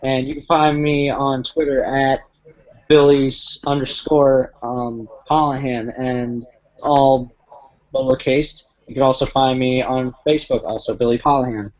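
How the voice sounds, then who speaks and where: Male panel guest, relaxed casual chat, mid pitch, lo-fi call sound. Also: a little slow. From Missouri.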